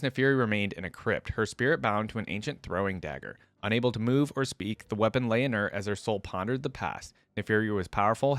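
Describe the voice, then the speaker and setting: Guy doing reaction videos, kinda low voice, really dry recording, casual reading and commenting. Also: dry recording. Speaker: YouTube reaction host. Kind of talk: casual reaction commentary with read-aloud passages.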